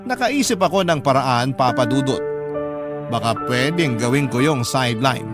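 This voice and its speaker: A man reading a scripted first-person story as the storyteller of a radio drama. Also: medium pitch. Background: prominent music.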